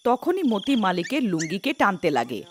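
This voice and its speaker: A woman's voice: medium pitch, wildly swooping pitch, fairly quick, noisy background. A woman reading scripted narration for a cartoon folk story.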